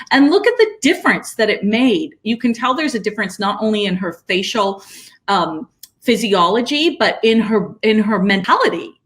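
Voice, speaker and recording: A woman's voice: medium pitch, a bit bright. Persuasive woman in her fifties. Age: 50s. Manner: upbeat, persuasive, addresses viewers directly. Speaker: webinar co-host. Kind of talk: promotional webinar chat.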